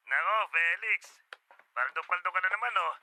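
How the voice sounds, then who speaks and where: A man's voice: high-pitched, lively intonation, takes it slowly, sharp tone, slight background noise, full character voice, poor audio. An actor playing a sly, chummy, teasing male accomplice in a Tagalog radio drama.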